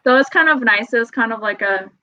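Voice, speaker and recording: A woman's voice: fairly high pitch, animated, fairly quick, sharp tone. A young woman from Wisconsin with a Wisconsin accent, chatting as a podcast guest, giggly and full of fillers. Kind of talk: casual interview chat. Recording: dull lo-fi sound.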